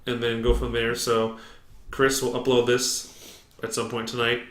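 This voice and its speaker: Young male podcast co-host, booming and jokey, echoey basement recording. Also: completely flat delivery, a little slow. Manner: loud, booming, joking. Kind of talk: casual sports podcast chat. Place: open basement. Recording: echoey room, faint noise.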